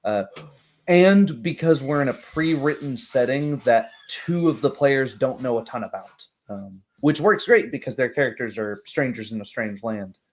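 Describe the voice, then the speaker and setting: A man hosting as game master in a relaxed post-game chat. He has a mid-pitched voice and reflects out loud, feeling his way through each thought. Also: moderate pace. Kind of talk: casual post-game discussion.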